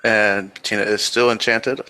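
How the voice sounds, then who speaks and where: A man's voice: lowish voice, flat delivery, measured pace, bright, forceful tone, rough recording. A man taking part in a tabletop roleplay as a player, describing his character's looks and actions.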